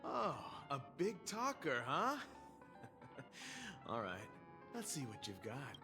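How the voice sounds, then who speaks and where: Condescending game gambling rival, man, drawn-out mocking delivery with swinging pitch, over music. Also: wildly swooping pitch, heavily acted. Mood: sneering. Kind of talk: video game voice acting. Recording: music under the voice.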